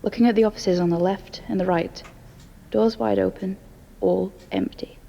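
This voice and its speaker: Gravelly, mellow woman narrator. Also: mid-range voice, steady tone, normal speed, highs cut off. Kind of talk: scripted story narration in present tense.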